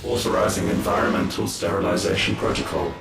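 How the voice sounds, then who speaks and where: An extremely deep, crackling machine voice issues cold system alerts in a video game. Its delivery is formal and impersonal, yet swings widely in pitch, with echo and music around it. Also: male voice, animated, quick pace, gravelly, exaggerated voice. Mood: cold, impassive. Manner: impersonal, formal, clipped announcements. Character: cold ancient machine defence system, male-voiced, emotionless.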